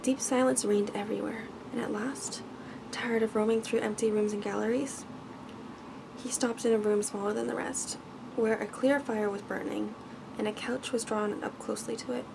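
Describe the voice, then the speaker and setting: A soft-spoken woman reads a bedtime story in a gentle, careful ASMR style, often dropping toward a whisper. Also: fairly high pitch, fairly even intonation, moderate pace, vocal fry, background noise. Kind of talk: ASMR bedtime story reading, scripted narration.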